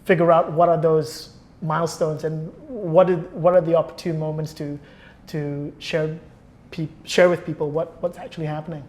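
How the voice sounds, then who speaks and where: A man's voice: on the high side, level tone, normal speed, darkish tone. A man speaking as a guest in a conversational interview, often searching for words and rephrasing as he explains. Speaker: interview guest, film producer.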